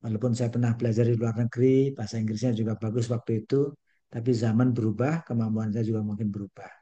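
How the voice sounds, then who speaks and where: A man's voice: medium pitch, fairly even intonation, quick pace, mellow, dull audio. An older man from Indonesia giving an online lecture over a video call, folksy and peppered with English phrases and little rhymes.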